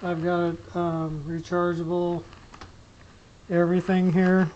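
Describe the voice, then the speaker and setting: A middle-aged hobbyist talks through a casual demo at an unhurried, halting pace. The tone stays flat as the talk rambles with frequent pauses. It is picked up on a small camera clipped to a shirt, with some noise behind it. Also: medium pitch, muffled recording. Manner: rambling, hesitant, pausing often mid-sentence. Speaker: amateur radio hobbyist giving a gear demo. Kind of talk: casual unscripted demonstration. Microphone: small camera pinned to t-shirt. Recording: noisy clip-on camera sound with clicks.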